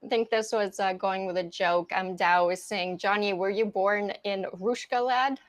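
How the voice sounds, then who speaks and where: A woman's voice: medium pitch, normal speed, no echo. Ukrainian-born woman reading viewer comments. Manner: relaxed, reads out viewer questions. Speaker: co-host. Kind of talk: casual livestream chat, reading viewer comments.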